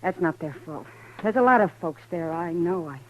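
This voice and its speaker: An actress playing a gentle, warm-hearted saloon keeper woman in a 1950s radio drama, speaking softly and tenderly. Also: lowish voice, lively intonation, moderate pace. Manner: soft, quiet and tender. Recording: dull old radio broadcast.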